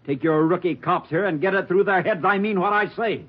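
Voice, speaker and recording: A man's voice: high-pitched, a little fast, husky. An actor playing a hostile bomber in a 1940s radio crime drama, with slangy, sneering tough-guy talk and barked threats. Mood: furious, demanding. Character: hostile bomber holding city hall hostage, demanding his brother. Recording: muffled old 1940s radio recording.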